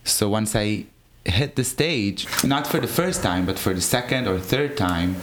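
Man, low voice. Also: unhurried, noisy background. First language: Hebrew. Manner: animated, laughing, restarts sentences. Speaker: drag performer guest. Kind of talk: casual interview chat.

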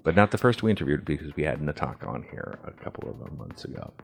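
Podcast co-host, male, native Swedish speaker; very low pitch, loose and chatty. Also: sing-song, fast talker, creaky. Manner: playful, mock-official opening, many fillers. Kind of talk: casual podcast chat.

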